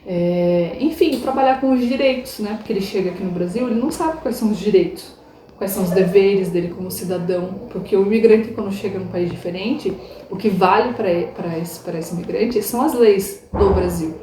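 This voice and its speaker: A woman's voice: mid-range voice, fairly quick, mellow. A woman social worker explaining migrants' rights in an earnest interview manner, tagging sentences with questions. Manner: earnest, explains with many "né?" tags. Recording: echoey room with faint noise.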